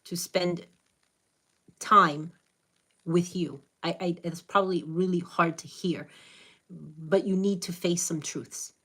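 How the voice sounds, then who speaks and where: A woman's voice: fairly low pitch, steady tone, takes it slowly, long pauses, bright, forceful tone, breathy edge. A woman with a Spanish accent, Spanish her first language, giving blunt, direct, motherly advice to viewers on a live stream.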